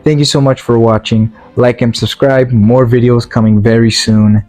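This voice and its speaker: Rambling man with a mellow, tired voice. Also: mid-range voice, flat delivery, moderate pace, soft background music. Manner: rambling, heavy on fillers and restarts. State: tired, worn-out voice. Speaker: video reviewer. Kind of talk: casual spoken movie review.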